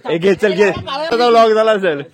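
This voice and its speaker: A man's voice: sits high, lively intonation, moderate pace, noisy background. A man with a Maharashtrian Marathi accent, chatting casually as a vlogger to camera and to friends. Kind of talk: casual chat and vlog commentary.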